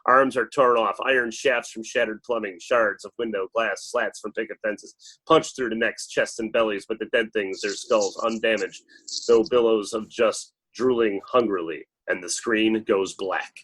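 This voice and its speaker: A man's voice: fairly low pitch, steady tone, normal speed, fairly bright tone, husky, low-quality recording. A man reading stage directions as dramatic scripted narration at a table read.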